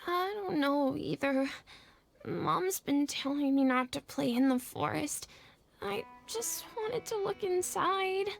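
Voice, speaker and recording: A sick little boy speaks slowly and weakly, close to tears. His voice is very high with a gravelly edge. Faint game music plays behind him. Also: animated, heavily acted. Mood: frightened. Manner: weak, frightened and tearful. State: feverish and dizzy. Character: sick little village boy, scared and feverish. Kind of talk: video game voice acting.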